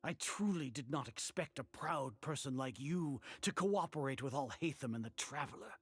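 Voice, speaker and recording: A proud older grand sage turned villain in an anime game. The voice is medium in pitch and rough-edged, husky, with formal, haughty phrasing. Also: male voice, animated, moderate pace, dry recording, faint music behind. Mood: bitter. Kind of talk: dramatic anime game voice acting.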